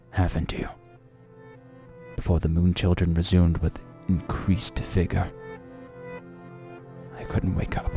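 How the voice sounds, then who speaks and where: Haunted young man for horror diary reading, male actor: low pitch, frightened, paranoid, dramatic, over faint music. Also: mellow, heavily acted. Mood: dread, then panicked.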